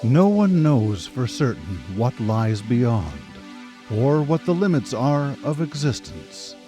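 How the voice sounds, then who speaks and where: A man's voice: medium pitch, sing-song, takes it slowly, mellow. A man announcing and narrating a radio drama series in a theatrical, scripted style. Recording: live radio broadcast with music behind. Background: music.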